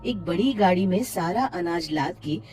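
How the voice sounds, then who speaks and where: A woman narrating a scripted cartoon tale as an expressive storyteller. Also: lowish voice, normal speed, faint music behind.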